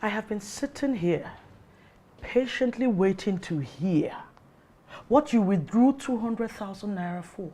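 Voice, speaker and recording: A woman's voice: on the high side, expressive, fairly quick. An actress playing a suspicious, protective middle-aged mother in a film drama, with a Nigerian accent and a scolding, probing manner. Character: suspicious, strict, protective mother of a grown son.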